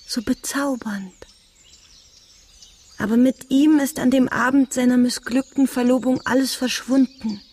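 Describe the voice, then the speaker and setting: A young gentlewoman of a ruined family, wistful and dignified. Her voice sits on the high side and has a soft, husky breath to it. She speaks earnestly and with measured care, in the manner of radio drama. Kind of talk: German radio drama acting.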